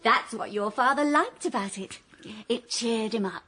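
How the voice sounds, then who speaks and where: A pushy, needling companion. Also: female voice, a little high, moderate pace, bright, forceful tone, breathy edge. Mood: breezy. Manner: breezy, needling, self-satisfied. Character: pushy, self-assured woman, the late father's companion.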